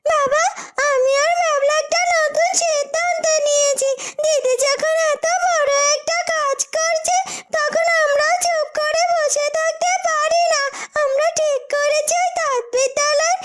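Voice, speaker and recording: Woman doing an excited village girl, very high squeaky voice, eager. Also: unhurried.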